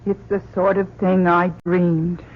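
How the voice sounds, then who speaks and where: An actor playing a thoughtful, anxious astronaut doctor who reasons formally in an old radio drama. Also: male voice, sits high, flat delivery, a little slow, narrow, tinny audio, a little hiss or hum. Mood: anxious, reasoning. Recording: band-limited old radio recording.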